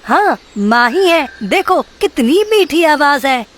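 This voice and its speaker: A woman's voice: sits high, big pitch swings, takes it slowly, exaggerated voice. A woman voicing a cautious, clever young bird chick in a children's cartoon story. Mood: agreeable.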